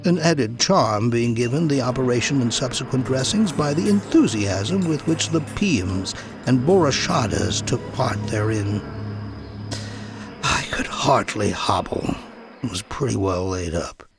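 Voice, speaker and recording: Expressive male audiobook narrator, creaky voice. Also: medium pitch, a little slow. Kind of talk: dramatic scripted audiobook narration of a first-person memoir. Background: music.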